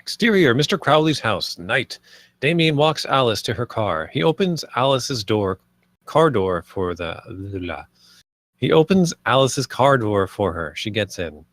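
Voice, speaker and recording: A man's voice: mid-range voice, big pitch swings, measured pace, a bit bright, no echo. A man reading out stage directions and scene headings as narrator at a film table read.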